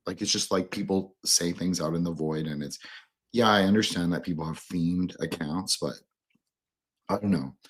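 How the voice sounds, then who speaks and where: Male podcast host, low and husky; loose, halting, digressive conversational delivery. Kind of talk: casual, rambling discussion.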